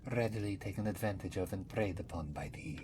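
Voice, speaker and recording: A man voicing a mysterious, wry old cat-man, rambling in a breathy half-whisper for an ASMR fantasy roleplay. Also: low-pitched, background noise, natural voice. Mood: cautioning. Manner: rambling, third-person speech, theatrical pauses. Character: mysterious old male Khajiit cat-man wanderer, wry, world-weary, teasing. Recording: music behind the voice. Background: prominent background music.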